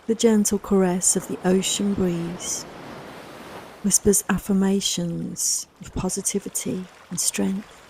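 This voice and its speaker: Woman, guided meditation teacher, soft reassuring delivery, level intonation, long pauses, faint ambient water-like noise behind. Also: medium pitch, flat delivery, creaky, poor audio. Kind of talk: slow guided relaxation meditation.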